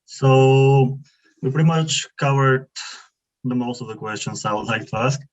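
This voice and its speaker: A young man from Bulgaria with a mid-pitched voice asks questions over a video call, halting and filling gaps as he searches for words. The audio is a little muffled and dull. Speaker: webinar participant asking questions.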